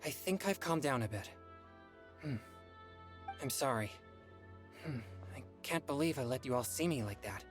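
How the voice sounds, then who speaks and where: A man's voice: medium pitch, moderate pace, long pauses, soft background music, close to an everyday voice. A man playing an earnest, polite teenage boy exorcist in an anime-style game. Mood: sheepish, subdued. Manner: polite, expressive.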